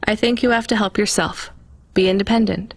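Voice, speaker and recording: A woman's voice: mid-range voice, fairly even intonation, a little fast, lightly acted. Woman playing a guarded, independent delivery driver. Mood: sceptical. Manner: guarded, matter-of-fact. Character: sceptical, independent young female delivery driver. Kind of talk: naturalistic video game dialogue.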